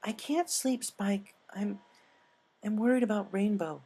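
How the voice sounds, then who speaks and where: A man's voice: sits high, unhurried, warm tone, breathy edge, voice pushed up, heavily acted. A man voicing a worried, restless young female pony scholar in a storybook reading, with an anxious, hesitant delivery.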